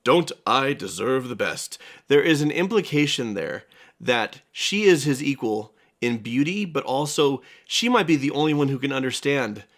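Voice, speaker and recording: A man's voice: medium pitch, expressive, fairly bright tone. Earnest man explaining film psychology. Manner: earnest, explanatory, quick to laugh. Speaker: therapist co-host. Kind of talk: casual film analysis chat.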